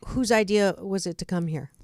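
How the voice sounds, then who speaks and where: A woman hosting a radio interview, chatty and quick to jump in with short prompts. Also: mid-range voice, a little mellow, dry recording, clean studio sound. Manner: chatty, interjects with many mm's. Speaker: radio show host. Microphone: studio mic.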